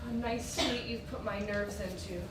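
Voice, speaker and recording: A woman's voice: medium pitch, steady tone, moderate pace, close to an everyday voice. A woman playing a haughty, poised society wife who keeps up appearances, in an affected, genteel theatrical style. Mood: flustered. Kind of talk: theatrical stage acting. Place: indoor theatre stage, picked up from afar. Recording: roomy, distant stage recording with noise.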